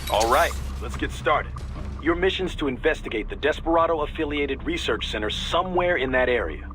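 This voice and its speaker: An actor playing a businesslike mission briefer with dry humour in a video game. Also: male voice, fairly high pitch, expressive, normal speed, music in the background, close to an everyday voice. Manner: matter-of-fact, dry.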